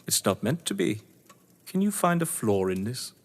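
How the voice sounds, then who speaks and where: An actor playing a cold, gloating crime novelist and betrayed husband in an audio drama, speaking with eloquent, taunting menace. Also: male voice, medium pitch, big pitch swings, moderate pace. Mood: smug contempt. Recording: reverberant hall-like room.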